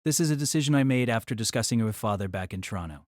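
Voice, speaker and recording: A man's voice: mid-range voice, lively intonation, rapid-fire, dry recording, clean studio sound, pitched below the performer's own voice, natural voice. A man voicing an earnest, polite and hesitant young student in an audio drama. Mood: nervous, earnest. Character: earnest, hesitant teenage student speaking to his grandparents.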